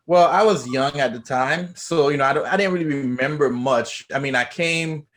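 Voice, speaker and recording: A man from Haiti speaks as a guest on a podcast and tells his story in a relaxed, unhurried way. He talks in an easy manner with frequent "um" and "you know" fillers. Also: on the high side. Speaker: guest chiropractor. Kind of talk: casual interview.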